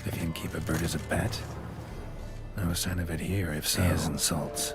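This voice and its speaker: Fantasy game hero: gruff monster hunter, bottomless pitch, gravel, terse deadpan sarcasm, loud music under him. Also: male voice, normal speed. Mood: musing. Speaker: player character in a fantasy video game. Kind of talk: video game voice acting.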